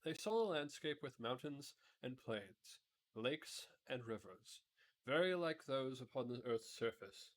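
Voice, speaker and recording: A man's voice: medium pitch, animated, unhurried. A man narrating a children's fantasy book aloud, often stumbling over words and correcting himself. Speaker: amateur storybook reader, streamer.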